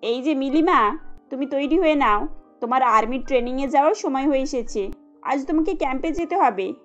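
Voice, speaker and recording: A woman plays a middle-aged cartoon mother-in-law with a high voice. She is caring, protective and gently insistent. The sound is a little dull, with music underneath. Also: animated, fairly quick. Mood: encouraging.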